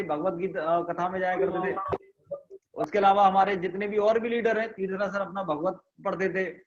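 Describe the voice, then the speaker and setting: A man with a high voice leads a motivational training over a video call, leaning on repetition and asking the audience questions. The sound is muffled and dull, like online call audio. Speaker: business trainer.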